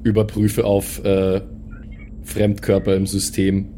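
A man's voice: fairly low pitch, monotone, slow pace, dark tone, slight background noise. A man voicing a stern, matter-of-fact killer robot with a commanding, formal manner.

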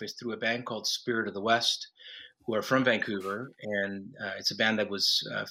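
Bright-voiced man from Mexico, rambling. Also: on the low side, rough recording. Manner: relaxed, rambling with restarts and laughs. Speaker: musician guest. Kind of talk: phone interview. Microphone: phone line.

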